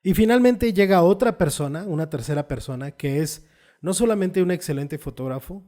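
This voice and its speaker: Mellow-voiced photographer explaining. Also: male voice, sits high, lively intonation, moderate pace. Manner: patient, explains with everyday examples and tag questions. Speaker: photographer and channel host. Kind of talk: explanatory video talk.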